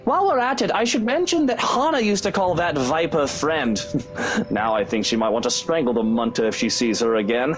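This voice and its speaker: An actor playing an arrogant, sulky young husband, sarcastic and dismissive. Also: male voice, a little high, animated, fairly quick, lightly acted. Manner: sarcastic, dismissive, theatrical swoops. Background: faint game music.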